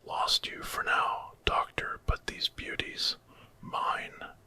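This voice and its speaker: A man's voice: slow, sharp tone. A man voicing a vengeful, power-hungry alien villain in a whispered ASMR story. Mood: gloating.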